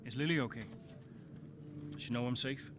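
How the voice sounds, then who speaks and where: An actor playing a dejected racing driver in a game drama, talking in a terse, downcast way. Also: male voice, medium pitch, normal speed, background noise, lightly acted. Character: dejected male racing driver, brooding family man.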